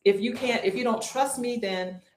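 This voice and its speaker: A woman who teaches swimming, answering interview questions in a calm, reassuring way and repeating her key points. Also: fairly high pitch, normal speed. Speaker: swim instructor. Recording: echoey room.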